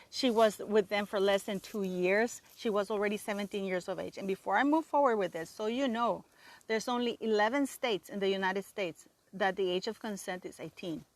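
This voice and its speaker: A woman's voice: mid-range voice, normal speed, dry recording. Emphatic Canadian woman, dry sound. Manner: emphatic, indignant, rambling with restarts. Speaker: channel host. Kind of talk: opinionated solo commentary.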